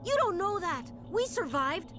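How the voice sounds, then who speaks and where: Shrill, distraught farm colonist. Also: female voice, very animated, unhurried, music in the background, heavily acted. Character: distraught, stubbornly hopeful female farm colonist. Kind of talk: video game dialogue acting. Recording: noisy game ambience behind voice.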